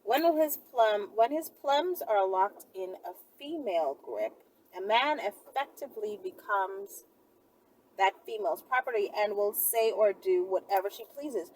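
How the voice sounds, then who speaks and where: A woman's voice: medium pitch, expressive, measured pace, darkish tone. A woman talking in a casual, chatty monologue, sassy and emphatic, with frequent little check-ins as she answers questions. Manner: sassy, emphatic, often adds "okay?" and "you know". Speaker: video host answering viewer questions. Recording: noisy, distant mic, vehicle-like ambience.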